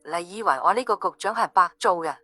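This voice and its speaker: A woman voicing a furious, domineering female bureau chief with a shrill, commanding delivery, in an audiobook. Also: fast talker, sharp tone, dry recording. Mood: furious, threatening. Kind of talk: audiobook character dialogue. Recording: music behind the voice.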